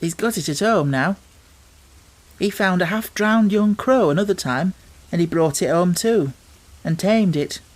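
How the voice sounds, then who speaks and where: A man's voice: sits high, unhurried, low-quality recording. A man voicing a stubborn, talkative young Yorkshire housemaid with homely dialect in an audiobook. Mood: chatty, fond. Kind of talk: audiobook character voice.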